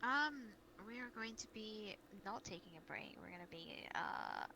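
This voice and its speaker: A woman with a creaky voice talking in an improvised online roleplay as the group's leader. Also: medium pitch, very animated, measured pace, sharp tone. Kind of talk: in-character improvised roleplay chat. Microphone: VRChat voice chat headset or mic. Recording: noisy online voice chat.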